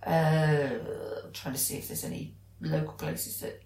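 A woman's voice: low voice, unhurried, creaky, darkish tone, slight background noise. A British woman co-hosting a podcast, chatting casually and enthusiastically. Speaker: podcast co-host. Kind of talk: casual chat. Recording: echoey room.

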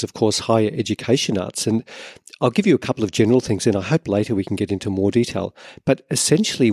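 A man speaking as a panel guest, explaining things in an articulate, measured way. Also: fairly low pitch, darkish tone, no echo, clean studio sound. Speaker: panel guest, arts educator. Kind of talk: considered panel discussion.

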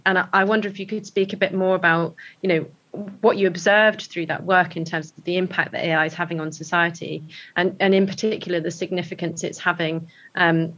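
A woman's voice: fairly low pitch, fairly bright tone. A woman interviewer asking questions in a thoughtful, tentative way. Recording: slightly dull, lo-fi sound.

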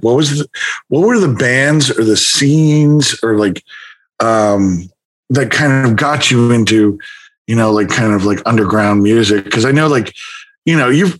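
A man's voice: on the low side, a bit bright, poor audio. A man hosting a podcast, asking questions in a loose, enthusiastic, rambling way full of fillers. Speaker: podcast host. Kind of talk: casual podcast chat.

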